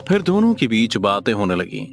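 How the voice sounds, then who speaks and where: Guy narrating scary story, deep-ish voice, music playing loud behind him. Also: very animated, a little fast, gravelly. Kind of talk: scripted dramatic storytelling narration. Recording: music under the voice. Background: background music.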